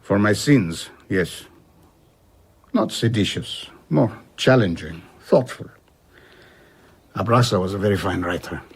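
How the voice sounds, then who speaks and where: A reflective middle-aged traveller in a radio drama. His voice is low and husky, and he tells his past with measured regret. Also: lively intonation, heavily acted. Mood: rueful, reflective. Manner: measured, rueful storytelling. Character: reflective older traveller recounting his rebellious past, rueful.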